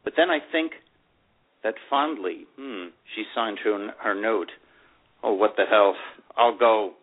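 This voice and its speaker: An actor reading a memoir as a wistful, proud older divorced man, reflective and halting with emphatic openings, in a dramatic first-person style. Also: fairly low pitch. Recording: dull, lo-fi radio-like sound.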